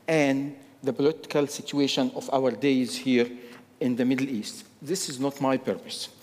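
Slow preacher in a reverberant hall. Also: male voice, medium pitch, a little mellow. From Egypt. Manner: slow, deliberate, repeats key phrases. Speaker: guest preacher. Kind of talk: sermon. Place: church sanctuary. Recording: long church hall reverb.

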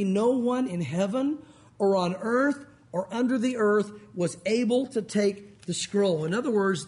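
A male pastor with a loud voice, preaching in a conversational, emphatic way. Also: high voice. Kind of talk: sermon.

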